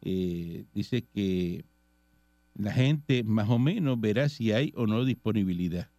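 Gravelly, mellow Puerto Rican man. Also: fairly low pitch, lively intonation, slow pace, no echo. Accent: Puerto Rican Spanish. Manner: reads news items and comments on them conversationally. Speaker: radio host reading political news. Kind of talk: casual radio talk show.

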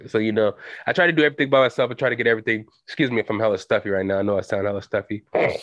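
A man's voice: on the low side, fairly even intonation, very fast, a bit bright, no echo. A young adult man hosting a solo podcast, talking loosely in slang with lots of fillers. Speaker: podcast host. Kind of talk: solo casual podcast chat. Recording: dull lo-fi home recording.

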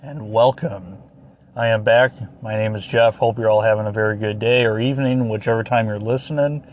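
A hoarse man hosting a solo podcast, chatting in a rambling, folksy, apologetic way as he gives a casual update. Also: fairly low pitch, fairly even intonation, brisk. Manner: folksy, apologetic, mild euphemisms. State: hoarse, clearing throat. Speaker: podcast host.

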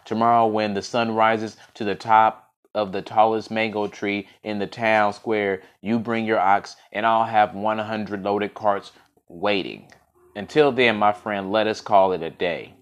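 A man's voice: lowish voice, fairly even intonation, a little slow, lightly acted. A man voicing a wealthy, boastful merchant in a folk tale, dismissive and emphatic. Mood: dismissive, confident.

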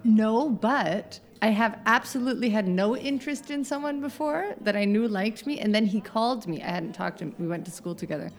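A woman's voice: fairly high pitch, expressive, a little fast, hardly any pauses. A woman from the United States, chatting as a podcast guest, laughing often and wandering into asides. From United States (Southern Oregon). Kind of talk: casual chat.

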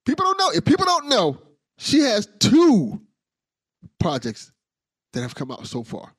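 Lively, husky-voiced podcast host man. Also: high voice, unhurried. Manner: playful, hypes up his guest, laughs along. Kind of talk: casual podcast chat.

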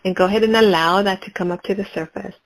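A woman leading a guided meditation, speaking in slow, calm, repetitive prompts. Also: mid-range voice, low-quality recording.

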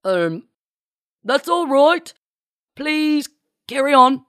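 Storybook impostor captain, played by a woman: loud, awkward, halting, forced gruff authority, dry sound. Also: medium pitch, lively intonation, no room sound, pitched above the performer's own voice, lightly acted. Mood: forced calm. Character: flustered woman clumsily impersonating a male British sea captain.

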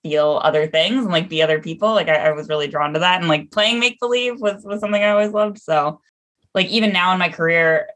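Low-voiced woman chatting and laughing. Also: quick pace, a bit bright. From Seattle, USA. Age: 20s. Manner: chatty, laughs often, swears casually. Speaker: guest actor. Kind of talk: casual podcast interview.

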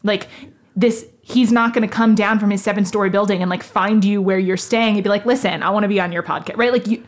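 A woman's voice: medium pitch, steady tone, fast talker. A woman interviewing in a casual podcast conversation, chatty and affirming, peppering her talk with "like". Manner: chatty, affirming, heavy use of "like".

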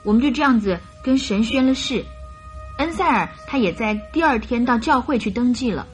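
A naive young bride-to-be voiced by an actress in a radio play, with a high voice. She tells her story earnestly and chattily, with little hesitations, over music. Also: expressive, a little fast, close to an everyday voice. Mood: earnest recounting. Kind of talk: radio drama acting.

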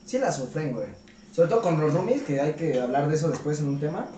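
A man's voice: fairly high pitch, expressive, fast talker, warm tone. A Mexican man co-hosting a casual podcast, bantering with crude slang and teasing. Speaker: podcast co-host. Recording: echoey, distant mic, faint noise.

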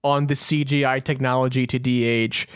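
A man's voice: mid-range voice, little pitch movement, normal speed, clean tone. A man co-hosting a podcast and chatting casually. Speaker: podcast co-host. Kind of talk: casual chat about Star Wars news.

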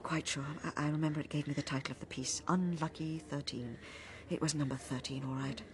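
A woman's voice: low-pitched, steady tone, fairly quick, close to an everyday voice. A woman playing a reluctant journalist in a radio drama, speaking conversationally and hesitantly with a British accent. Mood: steadying, concerned. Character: chatty female journalist turned reluctant bearer of grim news.

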